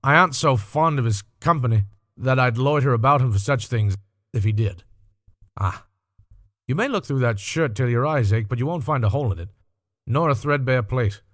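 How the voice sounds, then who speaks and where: A man's voice: mid-range voice, normal speed, fairly bright tone, no echo, clean studio sound, lightly acted. A man voicing a bold, callous working-class woman thief in a storybook, with a brash, scornful, colloquial manner. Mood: brazen, callous.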